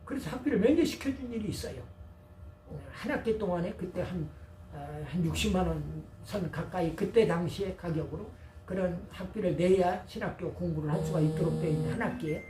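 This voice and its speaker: A man's voice: high voice, brisk, dark tone. A middle-aged or older man preaching as a pastor, emphatic and folksy, telling personal anecdotes. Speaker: pastor and former seminary professor. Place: church service. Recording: echoey church, distant mic, faint noise.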